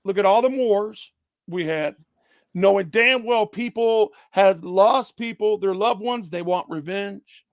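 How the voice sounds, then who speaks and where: High-voiced man ranting bluntly. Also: unhurried, dry recording, studio quality. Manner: blunt, emphatic, swears freely. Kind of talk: casual political chat.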